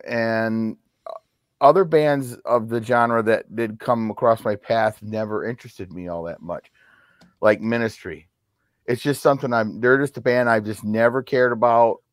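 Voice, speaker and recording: A middle-aged man in a casual music discussion on a video call, speaking plainly and a little haltingly. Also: mid-range voice, dry recording. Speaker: album review panelist. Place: home record room, indoors.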